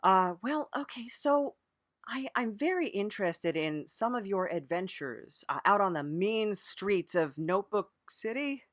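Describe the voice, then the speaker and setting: A woman's voice: medium pitch, expressive, measured pace, no echo, studio quality. A woman interviewing on an improvised comedy podcast, playing along earnestly in a hesitant way, often repeating her phrases. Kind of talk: improvised mock-serious interview.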